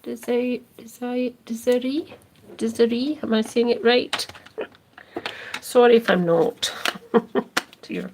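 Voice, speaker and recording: A Scottish woman talks slowly and gently through a crafting livestream, often dropping to a near-whisper while she works. Her voice has a gravelly, creaky edge. Faint noise and small clicks sit behind her. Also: a little high, steady tone. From Scotland. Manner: chatty, reads and answers viewer comments while working; slow, soft, drifting into near-whispers as she concentrates. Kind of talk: casual crafting livestream chat.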